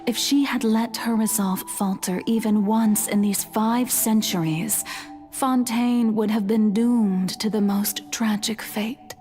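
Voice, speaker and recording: An age-old female deity from a fantasy game, speaking in a lightly raised pitch with theatrical poise. She is calm, resolute and playful, taking her time over formal phrases. Music plays softly behind her. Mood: solemn, knowing. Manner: theatrical, teasing, measured, formal diction. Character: serene, playful female god, centuries old, quietly resolute. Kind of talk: dramatic video game voice acting.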